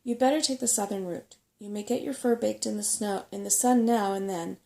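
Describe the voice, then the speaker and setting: A woman's voice: medium pitch, a little fast, vocal fry, dark tone, close to an everyday voice. A woman voicing a fussy, chatty, know-it-all old male rat in a storybook reading, with emphatic delivery. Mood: brisk, knowing.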